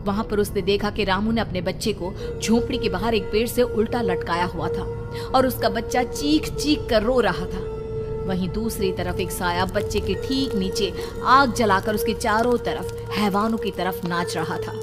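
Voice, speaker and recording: A woman's voice: mid-range voice, normal speed, loud music behind the voice. A woman narrating a scripted horror story in a dramatic, suspenseful way.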